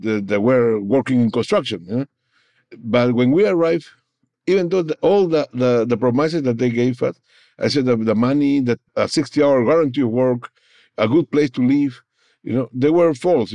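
A man from Peru with a Peruvian Spanish accent, a native Spanish speaker, recounting his experience haltingly in an interview. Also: mid-range voice, dark tone, no echo. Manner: halting, repeats words, often says "you know". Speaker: interview guest, former migrant worker. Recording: dull, slightly muffled, dry audio.

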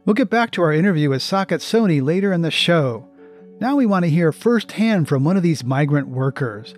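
A man's voice: a little high, expressive, brisk, darkish tone, faint music behind. A man hosting an interview program, asking questions and reading scripted links. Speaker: radio show or podcast host.

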